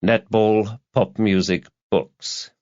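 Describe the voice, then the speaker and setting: A man's voice: lowish voice, fairly even intonation, takes it slowly, a little mellow, no echo. A man narrating a crime thriller audiobook in a scripted, dramatic storytelling style. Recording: slightly dull, lo-fi audio.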